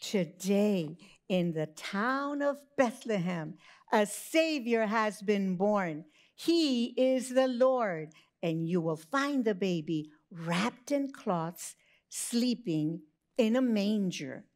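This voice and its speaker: A woman voicing a reassuring angel in a storybook, making a solemn, measured proclamation. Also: medium pitch, lively intonation, darkish tone. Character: reassuring angel of the Lord announcing good news.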